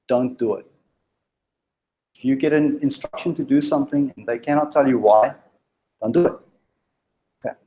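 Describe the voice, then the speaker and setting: Halting, mellow man giving a talk. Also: medium pitch, poor audio. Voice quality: stutters. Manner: halting, with frequent ums and restarts; direct, exhorting. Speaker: meetup speaker on leadership. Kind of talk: informal presentation to a live group.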